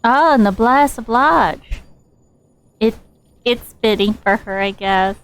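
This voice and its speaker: Slow-talking woman streaming a game. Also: fairly high pitch, slight background noise, rough recording. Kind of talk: casual gameplay commentary. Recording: game music behind the voice.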